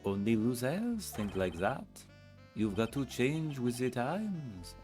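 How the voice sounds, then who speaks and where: A man's voice: medium pitch, very animated, slow pace, a little mellow, soft background music, voice pushed up. A man doing a smug, cocky defense lawyer. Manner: smug, grandstanding, speaks in aphorisms. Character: cocky coffee-drinking male defense lawyer. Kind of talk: fan voice acting of a game.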